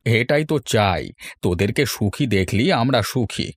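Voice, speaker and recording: A man's voice: fairly low pitch, animated, no echo, studio quality. A man voicing a snobbish middle-aged father in a cartoon, brusque and grumbling, with disdain for the poor. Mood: matter-of-fact. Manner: brusque, sneering, quick to grumble. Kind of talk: Bengali cartoon voice acting.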